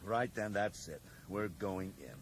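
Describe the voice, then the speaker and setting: An actor in a 1980s British radio drama playing a brisk, blunt, casual government agent in charge. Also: male voice, low voice, lively intonation. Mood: brisk, businesslike. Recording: radio drama with clear background noise.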